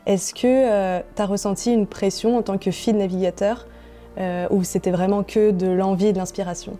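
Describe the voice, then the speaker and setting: Mellow-voiced woman interviewer. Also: medium pitch, steady tone, moderate pace, faint music behind. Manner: informal, attentive with short backchannels. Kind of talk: casual interview.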